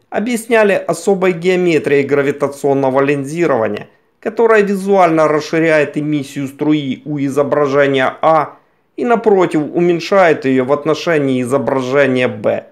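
A man reading scripted science documentary narration in a measured, formal, carefully enunciated way. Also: fairly high pitch. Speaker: narrator of a science news video. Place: voice-over recording.

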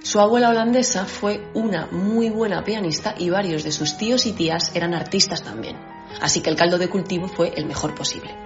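A woman's voice: fairly low pitch, a little fast. A woman musicologist on a radio program, speaking in an informative way. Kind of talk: radio chat. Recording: dull audio with music.

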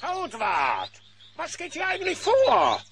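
Pompous high-pitched villain duke. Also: male voice, wildly swooping pitch, takes it slowly, crowd noise, full character voice. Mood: suspicious. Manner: pompous, theatrical, florid insults and oily courtesy. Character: pompous, irascible villain duke, slyly flattering when plotting. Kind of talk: cartoon audio drama acting.

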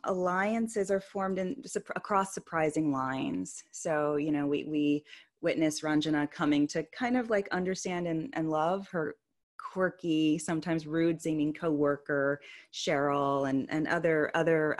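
A woman moderates a panel in a very low voice. She speaks thoughtfully, pausing often with ums. She is heard through a headset on a video call from a study at home. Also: steady tone. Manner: hesitant, many ums, thoughtful.

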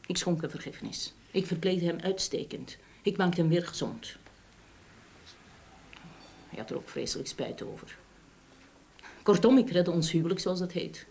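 An actress playing a frank, scornful, devoted middle-aged wife in a radio drama, with an accent from the Netherlands. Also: female voice, lowish voice, lively intonation, normal speed, creaky, long pauses, darkish tone. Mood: hurt, resigned. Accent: Dutch from the Netherlands. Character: outspoken, wronged but devoted middle-aged Dutch wife. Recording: old radio play with background hiss.

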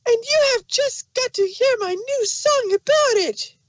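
Girlish cartoon pony, sky-high pitch, bubbly, pushy, relentlessly cheerful, dry sound. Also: animated, a little slow, no room sound. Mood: bubbly, excited. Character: hyper, chirpy, relentlessly cheerful young female pony. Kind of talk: fan fiction audio drama.

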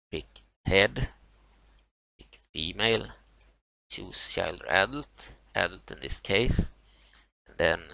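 A man with a Swedish accent, a native Swedish speaker, talking through a software tutorial, halting with many fillers and restarts. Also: deep voice, creaky. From Sweden. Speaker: tutorial maker. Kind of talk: screen-recorded software walkthrough.

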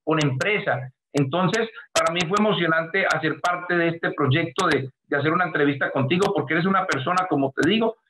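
Colombian man, high voice, noisy call. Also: a little fast, few pauses. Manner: earnest, effusive, speaks in long flowing sentences. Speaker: artist guest. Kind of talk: video call interview. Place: home room, indoors. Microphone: webcam on video call.

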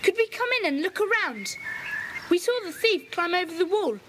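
A woman's voice: extremely high pitch, big pitch swings, moderate pace, background noise. A woman voicing a confident boy who leads a children's club in an audio drama, speaking decisively and taking charge. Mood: eager.

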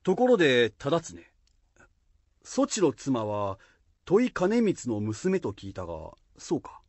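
A man's voice: medium pitch, huge pitch swings, takes it slowly, a little mellow, dry recording, exaggerated voice. A man playing a lordly samurai warlord in a period radio drama, with weighty archaic speech. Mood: musing. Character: lordly middle-aged samurai warlord, musing and commanding.

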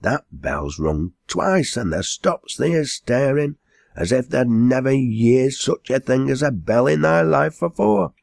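A plain-spoken Northern English landlady from a storybook, acted by a male reader. She is bossy and tender-hearted by turns. Her speech is unhurried and homely, with little room sound. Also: medium pitch, sing-song, vocal fry, a little mellow, dry recording, studio quality, pitched above the performer's own voice, full character voice.

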